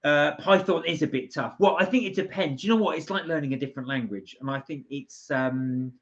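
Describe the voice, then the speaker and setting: Male British livestream co-host, chatty and hesitant, unpolished and informal. Muffled, low-fidelity sound. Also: a little fast. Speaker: live stream co-host. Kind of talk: casual live chat, reading viewer comments. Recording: dull, lo-fi livestream audio.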